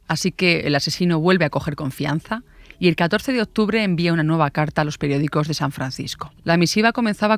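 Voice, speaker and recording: A woman's voice: low voice, dry recording. A woman hosting a true-crime podcast with scripted narration. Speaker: podcast host, journalist.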